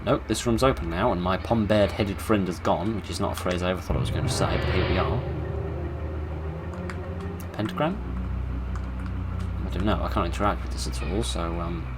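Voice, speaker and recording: A man's voice: low-pitched, a little fast, pauses often, very noisy. A British man giving casual commentary on a game and reading its story aloud, with dry, tongue-in-cheek humour. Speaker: gamer narrating a horror game playthrough.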